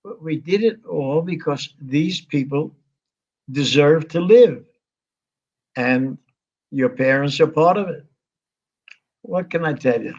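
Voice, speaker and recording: A man in his nineties talks in a rambling, halting way, breaking off and restarting his sentences as he reminisces. His voice sits a little high for a man, and the audio is muffled and lo-fi. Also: darkish tone. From United States. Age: 90s. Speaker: interview guest. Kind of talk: conversational reminiscing.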